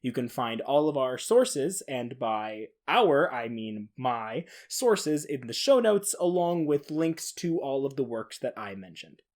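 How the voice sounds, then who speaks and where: A man's voice: mid-range voice, sing-song, slow pace, few pauses, darkish tone. A man who hosts a podcast, speaking on his own. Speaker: podcast host. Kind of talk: dramatic reading of a science-fiction horror log; casual podcast sign-off.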